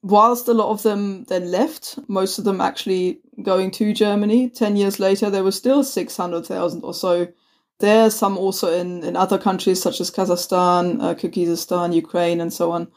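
A woman's voice: mid-range voice, fairly even intonation, moderate pace, darkish tone. A woman co-hosting a conversational history podcast, chatty, with many restarts and fillers. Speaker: podcast co-host.

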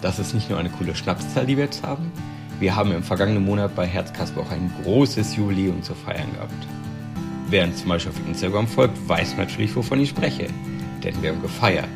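A man's voice: low-pitched, a little fast, gravelly, over music. A man hosting a podcast, reading an upbeat scripted introduction. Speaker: podcast host.

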